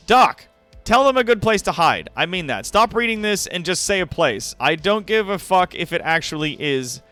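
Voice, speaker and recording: Bright-voiced theatrical man over music. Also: high-pitched, sing-song, moderate pace, no echo. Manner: theatrical, sweary, wry asides. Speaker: livestream host. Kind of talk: dramatic reading of fan fiction mixed with casual chat to viewers.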